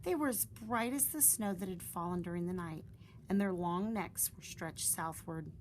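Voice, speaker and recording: A woman reading a children's fairy tale aloud in a gentle, expressive storytelling manner. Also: on the low side, moderate pace, a little hiss or hum.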